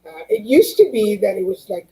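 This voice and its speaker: A woman's voice: mid-range voice, animated, brisk, warm tone, rough recording. A woman hosting an interview show, asking curious questions in a conversational way. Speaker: show host. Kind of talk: casual interview.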